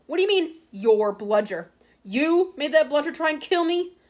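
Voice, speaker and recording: A woman's voice: sits high, lively intonation, normal speed, a little mellow, pitched above the performer's own voice. A woman voicing a twelve-year-old boy wizard, speaking plainly and sharply.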